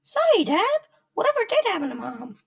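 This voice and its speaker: Lady doing a squeaky little beaver kid, swoopy and flustered, bit far from the mic. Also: wildly swooping pitch, normal speed, vocal fry, heavily acted. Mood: chirpy. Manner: stammering, easily flustered. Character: small young boy animatronic beaver, naive, anxious son. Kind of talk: audio drama acting.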